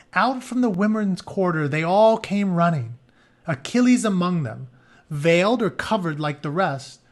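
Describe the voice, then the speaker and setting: A man with a slightly high voice reads a story aloud for young listeners. He moves between expressive narration and informal explanations, talking like a teacher in plain words. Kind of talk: expressive read-aloud with casual explanatory asides.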